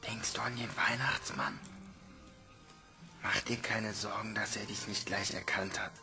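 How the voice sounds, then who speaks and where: Hushed, gravelly protective orphan lad. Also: male voice, fairly low pitch, flat delivery, unhurried, soft background music, heavily acted. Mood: hushed, reassuring. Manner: hushed, down-to-earth. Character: older orphan boy, protective, matter-of-fact friend. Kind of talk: hushed bedtime dialogue in audio drama.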